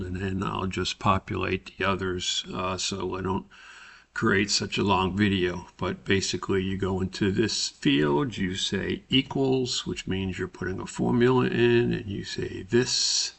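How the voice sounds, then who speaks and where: Male instructor for screen tutorial: deep, bright-edged voice, deliberate halting step-by-step delivery. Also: fairly even intonation, creaky. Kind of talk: screen-recorded spreadsheet tutorial narration.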